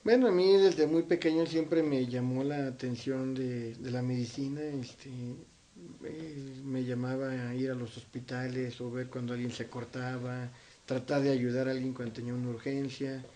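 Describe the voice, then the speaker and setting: A man's voice: medium pitch, fairly even intonation, moderate pace, warm tone. Middle-aged Mexican man chatting, faint noise behind. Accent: central-northern Mexican. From Zacatecas, Mexico. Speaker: interview guest, doctor. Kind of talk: casual conversational recollection. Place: his own home, indoors.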